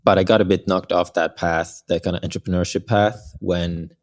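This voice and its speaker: Deep-voiced Norwegian man. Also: steady tone, moderate pace. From Norway. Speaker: podcast guest, startup founder. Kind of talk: casual interview chat.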